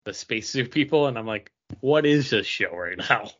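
A man's voice: mid-range voice, expressive, normal speed, a bit bright, muffled recording. A man co-hosting a podcast in casual, conversational chat. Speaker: podcast co-host.

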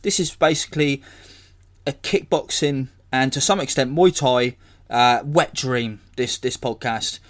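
Hoarse man rambling on a podcast. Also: mid-range voice, unhurried, a bit bright. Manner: rambling, self-correcting. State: hoarse from illness. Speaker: podcast host. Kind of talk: casual solo podcast intro.

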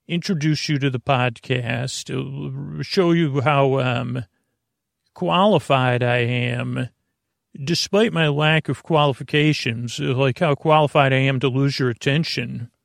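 A man hosting a bedtime podcast in a gravelly voice, rambling haltingly, interrupting himself and drifting off on tangents. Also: mid-range voice, no echo, studio quality. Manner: meandering, halting, self-interrupting, tangent-prone. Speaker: podcast host.

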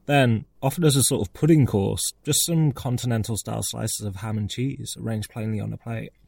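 A man with a gravelly, husky voice reading out a humorous scripted personal essay. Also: mid-range voice, moderate pace, a little mellow, no room sound. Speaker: essayist reading own piece.

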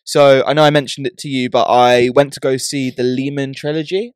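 A man's voice: medium pitch, steady tone, brisk, smooth, dry recording, studio quality. A man co-hosting a podcast, telling stories in a chatty, emphatic way with frequent restarts. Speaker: podcast co-host. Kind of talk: casual chat.

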